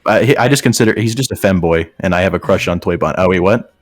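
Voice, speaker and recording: A man's voice: sits low, quick pace, faint music behind. A man reading fan fiction aloud in a mock dramatic style, with amused, incredulous casual banter.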